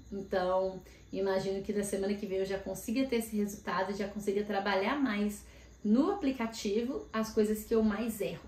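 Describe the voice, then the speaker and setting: A woman in casual chat, vlogging and telling about her week with lively asides. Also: medium pitch. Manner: chatty, animated storytelling with frequent asides. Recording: echoey room.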